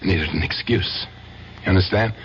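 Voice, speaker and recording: An actor playing a jealous, menacing American husband with a pushy, familiar, slangy manner in an old-time radio drama. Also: male voice, low-pitched, normal speed, vocal fry, fairly bright tone. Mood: needling, brooding. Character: jealous, menacing husband, an acquitted murder defendant. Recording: muffled noisy old radio recording.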